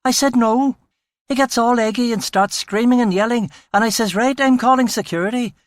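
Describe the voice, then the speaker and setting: A man's voice: extremely high pitch, normal speed, no room sound, studio quality, pitched above the performer's own voice. A man voicing a brash, indignant young woman with a harsh West Belfast accent, in an audiobook. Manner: blunt, breathless storytelling, harsh.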